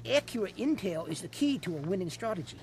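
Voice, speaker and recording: A man playing a level-headed squad chief in an audio drama, with an Australian accent and a commanding, measured manner. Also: high voice, very animated, darkish tone, faint music behind.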